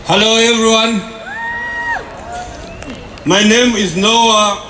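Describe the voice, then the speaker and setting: A man with a Zimbabwean accent giving a prepared activist speech in deliberate, formal phrasing. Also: sits high, fairly even intonation, a crowd in the background. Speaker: human rights activist speaker. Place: outdoor city centre rally. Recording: echoey outdoor PA with noise.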